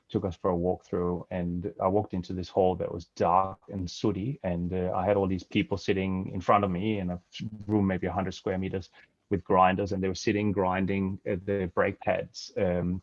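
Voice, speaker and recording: A man's voice: low-pitched, dry recording. A middle-aged man from Denmark with a Danish accent, giving a hesitant webinar talk full of fillers from a home office over a headset. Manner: hesitant, many fillers and restarts. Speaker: webinar guest speaker. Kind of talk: webinar presentation. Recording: dry, dull video-call audio.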